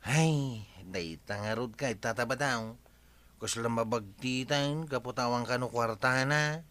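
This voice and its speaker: A man's voice: medium pitch, unhurried, fairly bright tone, lightly acted. An actor with an Ilocano accent playing a fussy, scolding younger male relative in a village radio comedy drama, exclamatory and nagging. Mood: questioning.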